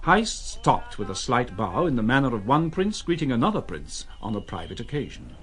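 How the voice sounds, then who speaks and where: A man's voice: medium pitch, very animated, moderate pace. A man narrating a literary audio drama as a scripted storyteller.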